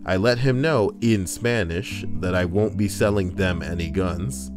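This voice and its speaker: A man's voice: low-pitched, unhurried, music in the background. A man casually reading forum posts aloud on a livestream, breaking in with crude, joking asides.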